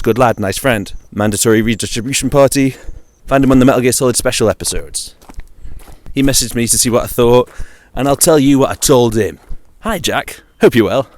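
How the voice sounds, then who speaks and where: A man with a British accent chatting informally on his own about games, in a dry, wry, jokey manner. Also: medium pitch. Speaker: video game vlogger.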